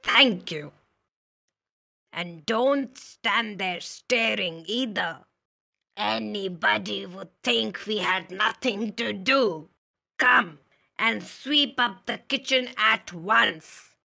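A short-tempered woman cook, as a female reader puts her on, scolding in a slow, gravelly voice. Her tone has a sharp, bright edge. It is a storybook reading. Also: mid-range voice, full character voice. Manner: scolding, snappish.

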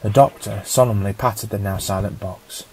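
A man narrating a scripted story as an audiobook reader, with a measured storytelling manner. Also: lowish voice, fairly even intonation, a little mellow, a little hiss or hum, rough recording.

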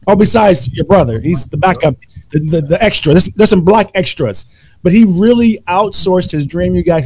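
A man's voice: fairly high pitch, darkish tone. A man hosting a live online show from a home studio, upbeat and speaking straight to his viewers. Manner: upbeat, addresses audience as you guys. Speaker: host. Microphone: desk microphone in home studio.

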